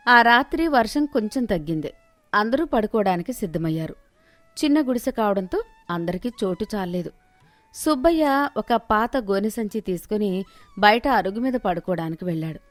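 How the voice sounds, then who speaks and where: A woman's voice: mid-range voice, lively intonation, moderate pace, no room sound, faint music behind. Woman storyteller, dry studio-like sound. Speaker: story narrator. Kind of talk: scripted moral-story narration.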